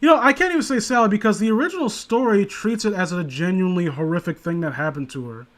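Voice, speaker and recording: Higher-voiced man, rambling and sarcastic. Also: expressive, quick pace, a bit bright. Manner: rambling, sarcastic, crude humour. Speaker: co-host of a comedic commentary show. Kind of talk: casual comedic commentary.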